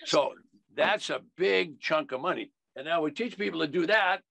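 A man's voice: a little high, moderate pace, creaky, sharp tone, no echo. An older American man teaching in an emphatic, folksy sales style, repeating key phrases on a video call. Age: older, 70s. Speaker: investing educator. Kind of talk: instructional sales talk.

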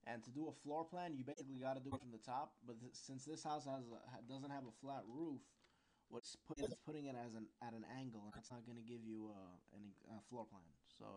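A man giving an informal, unscripted software tutorial, explaining step by step and sometimes searching for words. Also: mid-range voice.

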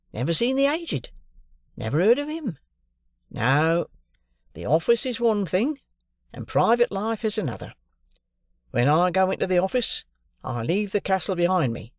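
A man voicing a brisk, private but fond Victorian clerk in an audiobook, speaking in an emphatic, matter-of-fact way. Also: on the high side, no room sound, studio quality, voice pushed up.

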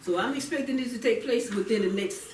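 A high-pitched woman presses her demands at a council meeting, firm and repetitive. The sound is echoey and picked up at a distance from the microphone. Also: fast talker, a little mellow. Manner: insistent, demanding, repeats her points. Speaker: city council member. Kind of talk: formal public meeting discussion. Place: public meeting room. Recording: echoey meeting room, distant mic, faint noise.

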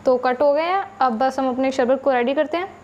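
Woman, pakistani urdu accent, high voice, patient step-by-step cooking narration, faint hiss behind. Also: brisk, lo-fi sound. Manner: patient, explanatory, addresses viewers directly. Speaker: cooking channel host.

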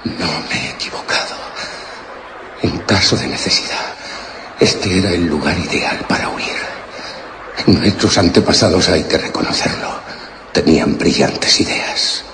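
A man's voice: sits low, a little slow, long pauses. An actor from playing an anxious but determined man in a radio suspense drama, dramatically thinking aloud to himself. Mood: relieved, musing. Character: César, man trapped in castle tunnels, anxious and determined. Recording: echoey, very noisy radio drama recording.

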